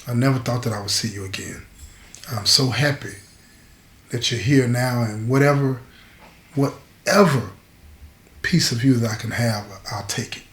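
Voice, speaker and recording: A man's voice: medium pitch, normal speed, pauses often, fairly bright tone, natural voice. A man voicing a remorseful, tender prisoner in an emotional, pleading story dialogue, repeating his phrases. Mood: tender, sorry. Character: remorseful imprisoned man, tender and humbly grateful. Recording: echoey room.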